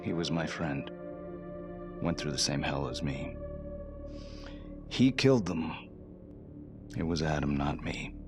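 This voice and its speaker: An actor playing a desperate, volatile condemned prisoner in a cinematic game, with an intense delivery. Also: male voice, a bass voice, slow pace, long pauses. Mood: pleading. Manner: intense, swings from hushed to shouting. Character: desperate condemned man, pleading, haunted, volatile. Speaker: death-row prisoner. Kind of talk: cinematic video game acting. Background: music.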